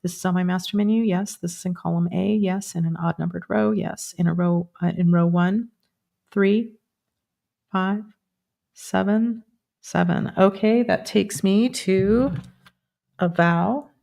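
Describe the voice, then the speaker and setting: A woman around sixty hosting a live stream, chatting casually and then guiding a group energy meditation with quick yes-or-no pendulum checks. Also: medium pitch, steady tone, vocal fry, mellow. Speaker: energy work host.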